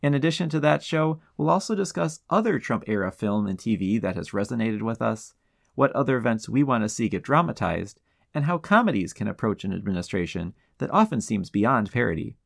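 A man's voice: mid-range voice, sing-song, a little fast, creaky. A man hosting a podcast, introducing and chatting in an interview style.

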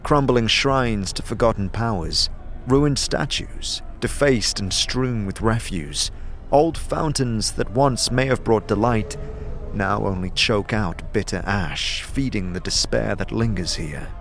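A man narrating an atmospheric scripted fantasy story in a measured, dramatic manner. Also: fairly low pitch, a bit bright, slight background noise.

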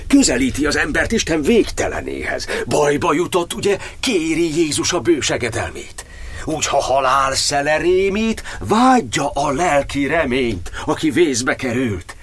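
A man's voice: fairly high pitch, big pitch swings, fairly bright tone, slightly rough, exaggerated voice. An actor playing a fervent, grieving churchman, declaiming an impassioned poetic monologue in a radio drama. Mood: fervent, exalted. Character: fervent, grieving churchman preaching faith amid war.

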